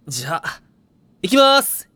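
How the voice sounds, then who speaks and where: An actor playing a calm, deadpan teenage boy teammate in an anime audio drama. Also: high-pitched, measured pace, heavily acted. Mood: flat.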